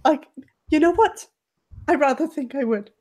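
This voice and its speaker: A woman's voice: high voice, moderate pace, darkish tone, pitched above the performer's own voice, lightly acted. Woman acting as a flustered, faltering genteel lady. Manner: faltering, repeating herself.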